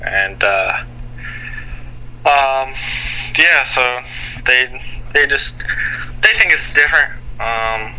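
A man's voice: mid-range voice, animated, vocal fry, bright, forceful tone, slight background noise, poor audio. A young Canadian man, a basketball player, giving interview answers full of fillers and restarts. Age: young adult. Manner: halting, many fillers and restarts.

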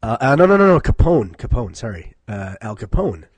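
Husky American man, dry close sound. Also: mid-range voice, very animated, fairly quick, no room sound. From Chicago, United States. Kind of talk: casual chat.

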